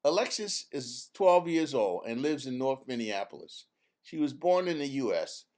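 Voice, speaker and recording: An author reading a children's book aloud as a narrator, in a deliberate, measured way. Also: mid-range voice. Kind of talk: scripted storybook narration read aloud.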